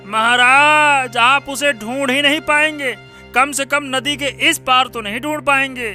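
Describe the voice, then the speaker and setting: A man's voice: very high-pitched, fairly even intonation, measured pace, bright, forceful tone, full character voice. A man voicing a shrewd, knowing courtier who advises a king in a cartoon story. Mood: pointed. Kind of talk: animated Hindi cartoon story acting. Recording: music under the voice.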